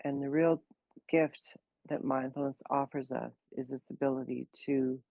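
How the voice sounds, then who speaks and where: Woman doing a guided meditation, slow n gentle, creaky voice, kinda dull dry recording. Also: rumbling low, steady tone, dry recording. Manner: slow, gentle, unhurried instruction with long pauses. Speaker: meditation teacher.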